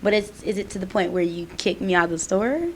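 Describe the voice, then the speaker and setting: A young woman co-hosting a student podcast, talking in a casual, emphatic way with plenty of "like" fillers. Her pitch sits in a middle range. A faint noise floor runs under the recording. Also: a little fast. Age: young adult. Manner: animated, emphatic, many "like" fillers. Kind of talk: casual chat.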